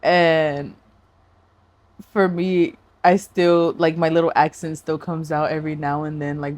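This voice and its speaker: A woman's voice: low voice, dry recording. A woman co-hosting a podcast in casual, joking chat, mixing some Spanish into her English. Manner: joking, laughing, mixes Spanish into her talk. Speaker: podcast co-host.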